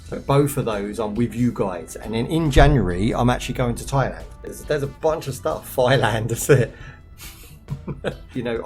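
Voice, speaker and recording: Sex: man. Role: gaming streamer, hoarse. Behind: music. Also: medium pitch, a little mellow. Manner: rambling, slangy, laughs often. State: losing his voice. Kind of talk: casual gameplay commentary to viewers.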